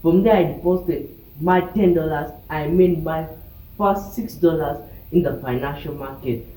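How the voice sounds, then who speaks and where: A young man from South Sudan with a South Sudanese accent tells a personal story as a vlogger, haltingly and with repeated phrases. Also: fairly high pitch, warm tone. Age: young adult. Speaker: vlogger telling his trading journey. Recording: distant mic, roomy echo, background hiss.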